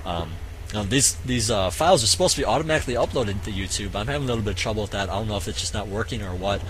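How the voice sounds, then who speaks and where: Hoarse man casting a strategy game. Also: fairly low pitch, slight background noise, rough recording. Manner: halting, many fillers and restarts. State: voice getting hoarse. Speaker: game caster. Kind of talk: casual play-by-play commentary.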